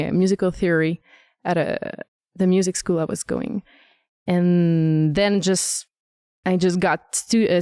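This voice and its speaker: A woman's voice: lowish voice, darkish tone, clean tone, dry recording, studio quality. A young adult woman from Montreal with a French Canadian accent, a singer and vocal coach chatting as a podcast guest, laughing often and restarting her sentences. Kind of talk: casual podcast interview.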